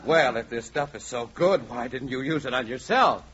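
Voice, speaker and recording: Man playing an irritable, sharp-voiced barbershop customer. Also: medium pitch, animated, normal speed, natural voice. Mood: indignant. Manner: exasperated, clipped, insistent. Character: irritable young male barbershop customer, easily flustered. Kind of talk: 1940s radio comedy acting. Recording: muffled, noisy 1940s radio recording.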